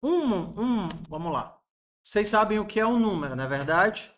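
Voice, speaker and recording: A man's voice: high-pitched, sing-song, normal speed, fairly bright tone. A man, teaching a programming lesson and patiently asking his students rhetorical questions. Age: 40s. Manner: patient, asks the class rhetorical questions, uses diminutives. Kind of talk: coding lesson screencast.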